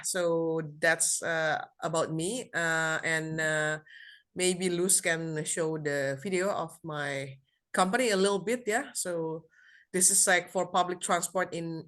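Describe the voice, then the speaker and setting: Halting woman, bright tone. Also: low-pitched. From Indonesia. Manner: halting, searching for words, lots of hesitation. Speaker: guest speaker. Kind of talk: self-introduction in an online session.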